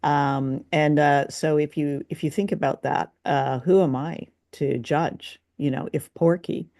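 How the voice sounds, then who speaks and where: A woman's voice: low voice, fairly even intonation, a little slow, creaky, dark tone, no echo, clean studio sound. A woman co-hosting a casual review chat, speaking in a musing, wry way. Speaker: podcast co-host.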